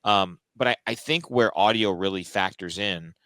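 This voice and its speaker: An American man, a sports radio announcer, chatting as an interview guest and thinking aloud in an animated way. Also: low-pitched, normal speed, dry recording. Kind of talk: casual interview chat.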